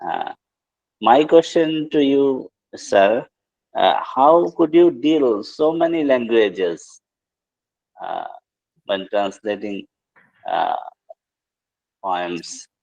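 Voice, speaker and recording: A man's voice: medium pitch, lively intonation, extremely slow, gravelly, pauses often, darkish tone, lo-fi sound. A man politely asking a question in an online session, with many hesitations. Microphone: video call.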